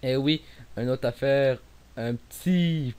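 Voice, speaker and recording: A man's voice: mid-range voice, takes it slowly, a little mellow, clear, resonant tone. Rambling Québécois young man gaming. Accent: Québécois French. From Quebec. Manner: rambling, many fillers and restarts, sometimes drops to near mumble. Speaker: gameplay commentator. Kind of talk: casual gameplay commentary. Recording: faint hiss.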